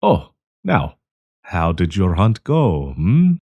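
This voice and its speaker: A man acting a deep, courtly, sinister old professor. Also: wildly swooping pitch, dark tone, breathy edge, full character voice. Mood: teasing, fond. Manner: courtly, teasing, chuckling, deliberate. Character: kindly old male professor hiding a predatory, possessive menace. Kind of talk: intimate audio roleplay acting.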